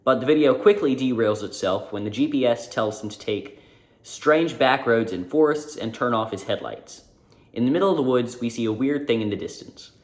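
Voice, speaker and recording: Man, video essay narration, informal, self-correcting, average pitch, roomy echo. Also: animated, moderate pace, a little mellow.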